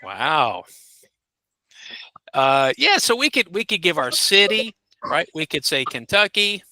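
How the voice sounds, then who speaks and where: Guy teaching basic english on a video call, high bright voice, bouncy, says stuff slow so students can copy. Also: sing-song, dry recording. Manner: patient, models phrases slowly for students. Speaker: ESL teacher. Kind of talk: encouraging classroom instruction.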